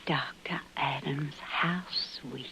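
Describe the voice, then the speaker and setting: An actress playing a flirtatious, gracious hostess in a 1950s radio western, speaking softly and coyly with a breathy touch. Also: female voice, low voice, slow pace, exaggerated voice. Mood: tender, coy. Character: flirtatious, gracious lady hostess courting the town doctor. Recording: muffled vintage radio recording, faint hiss.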